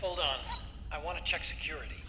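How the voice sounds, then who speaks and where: A man's voice: fairly high pitch, steady tone, quick pace, background noise, lightly acted. A man playing a friendly, chatty tech-expert sidekick in a video game, with an American accent and a lightly teasing, helpful way of talking. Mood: casual, focused.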